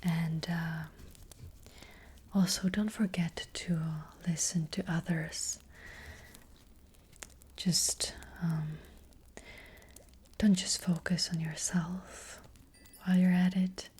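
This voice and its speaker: A woman voicing a gentle witch fortune teller in a soft ASMR roleplay, whispering breathily and hesitantly, close to the microphone. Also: fairly low pitch, fairly even intonation, slight background noise. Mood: gentle, attentive. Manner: gentle, hesitant, slow and reassuring.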